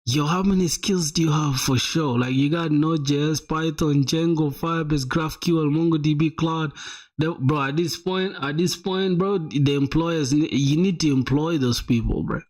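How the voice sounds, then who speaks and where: A man's voice: fairly high pitch, measured pace. Slangy young man hyping viewer sites. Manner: slangy, animated, calls viewers "bro" and "my boy". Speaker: streamer reviewing viewer websites. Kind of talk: casual live-stream chat.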